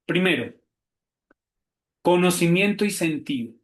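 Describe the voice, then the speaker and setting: A man with a Colombian accent hosts an online talk, his voice sitting a little high as he rushes along with fillers and self-corrections. He sounds like he is on a computer mic in a room with noticeable echo. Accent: Colombian Spanish. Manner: quick, animated talk with many fillers and restarts. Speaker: theology professor hosting a webinar. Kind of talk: webinar presentation.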